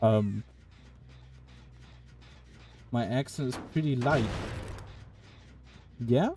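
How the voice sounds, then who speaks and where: Young male game streamer, mid-pitched and mellow, chatty and casual. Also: very slow, a little hiss or hum. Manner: puzzled, chatty, talks to chat. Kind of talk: casual live-stream commentary while playing.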